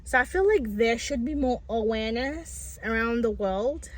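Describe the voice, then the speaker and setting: A woman's voice: a little high, moderate pace. A woman speaking in a personal vlog, stuttering with repeated sounds and restarts, earnest and reflective with many fillers. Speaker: vlogger.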